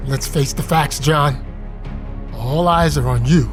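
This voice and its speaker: High-voiced, excitable male sidekick. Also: takes it slowly. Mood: worried. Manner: flustered, exclamatory. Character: anxious, devout, excitable male sidekick of an activist. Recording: music bed under the voice.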